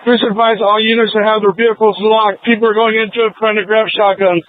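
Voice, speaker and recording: A man's voice: sits high, flat delivery, fast talker, slight background noise. A male police officer sending urgent field messages over a police radio. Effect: police radio transmission. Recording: narrow tinny police scanner radio audio.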